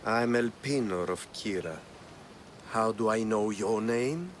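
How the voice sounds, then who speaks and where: Smooth middle-aged villain, lowish voice, measured, cold, condescending, video game, clear background ambience. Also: male voice, slight background noise. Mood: calculating. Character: smooth, sinister middle-aged male schemer and employer. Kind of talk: video game cutscene acting.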